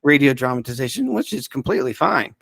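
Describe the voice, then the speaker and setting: A man with a mid-pitched voice talks casually as a guest giving recommendations. He is keen but rambles, pausing often to hunt for the right word. The sound is close and dry, with no room echo. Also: sing-song, gravelly, fairly bright tone. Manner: halting and rambling, searching for words. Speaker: podcast guest reviewing audio dramas. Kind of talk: casual unscripted chat, recommendations.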